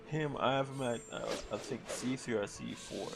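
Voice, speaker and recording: A man's voice: medium pitch, normal speed, creaky, over music. A young man giving casual livestream game commentary, rambling and slangy as he thinks aloud. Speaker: game streamer. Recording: noisy livestream mic.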